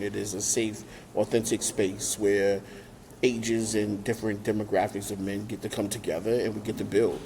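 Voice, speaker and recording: A man in his thirties with a New York accent, calling in to an interview as a guest and speaking in a relaxed way, with many fillers and restarts. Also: on the low side, a little hiss or hum, low-quality recording. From Brooklyn, New York. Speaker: nonprofit founder, guest. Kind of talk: remote interview conversation. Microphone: remote call.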